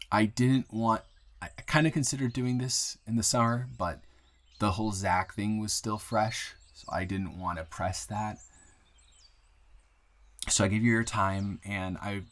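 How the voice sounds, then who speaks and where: A man playing an earnest, grateful young man shyly confessing love to a friend in an intimate, halting, improvised audio roleplay. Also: lowish voice, close to an everyday voice. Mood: nervous, earnest. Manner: halting, rambling, earnest, breaking into soft laughs.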